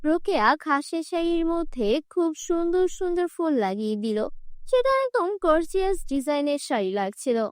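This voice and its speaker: Female storyteller for children's cartoon fairy tale, very high voice, scripted narration, dry close sound. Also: very animated, normal speed, no echo.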